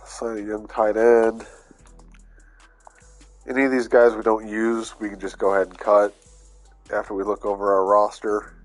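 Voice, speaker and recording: A man with a fairly low voice and a stuffy, congested sound, talking through a sports video game at an easy, unhurried pace. He thinks aloud with plenty of "uh"s, casual and a little worn down from being under the weather. Faint music sits underneath his voice. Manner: laid-back, thinking aloud with fillers. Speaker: gaming YouTuber. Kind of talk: casual gameplay commentary.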